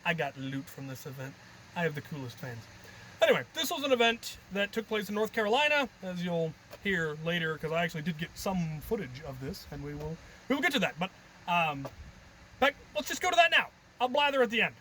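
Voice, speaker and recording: A man's voice: sits high, very animated, brisk, sharp tone, low-quality recording. A man hosting a vlog in a casual, jokey way, with a playful, theatrical and self-deprecating manner. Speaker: host of a hobby video channel. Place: on location, outdoors and at busy events. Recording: background noise from outdoor and event locations.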